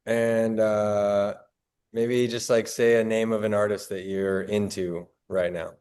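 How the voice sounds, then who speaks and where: A man from Colorado leads a relaxed artists' meetup. His low voice is mellow and smooth, and he pauses often with fillers. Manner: hesitant, many fillers. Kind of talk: casual group introduction.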